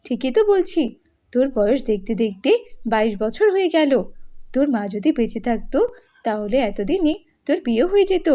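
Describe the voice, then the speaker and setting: A woman's voice: fairly high pitch, dark tone, lo-fi sound. A woman voicing a kindly, outspoken village aunt in a cartoon fairy tale, effusive and gossipy.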